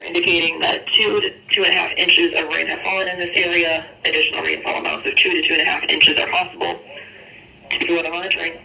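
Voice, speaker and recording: A man's voice: a little high, little pitch movement, quick pace, creaky, pushed and bright, slight background noise. A man working as a weather service radio operator, reading formal warning bulletins over a two-way radio. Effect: two-way radio transmission. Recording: echoey, distant radio transmission pickup.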